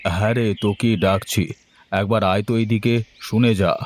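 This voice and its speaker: A man's voice: on the low side, steady tone, normal speed, close to an everyday voice. A man voicing a scheming, pushy village seed dealer in a cartoon, with a coaxing, condescending manner. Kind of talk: cartoon dialogue acting.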